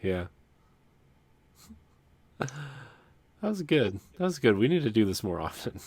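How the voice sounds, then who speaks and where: A man's voice: mid-range voice, sing-song, slow, darkish tone, slightly rough, dry recording. A man co-hosting a comedy podcast, trading casual, laid-back, teasing banter. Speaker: podcast co-host.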